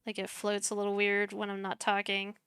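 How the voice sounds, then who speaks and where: Soft-spoken young woman on a headset. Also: medium pitch, completely flat delivery, fairly quick, clear, resonant tone, dry recording, studio quality. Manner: chatty, self-deprecating, mutters while clicking. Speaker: streamer teaching streaming setup. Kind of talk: casual screen-share tutorial.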